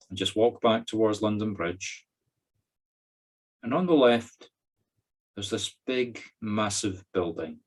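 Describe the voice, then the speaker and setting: A Scottish man with a deep voice acts as a medium guiding a spiritual circle. He leads the meditation in short, spaced-out phrases, directing listeners through each step. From Scotland. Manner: slow, pausing instructions in short phrases. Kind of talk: guided meditation.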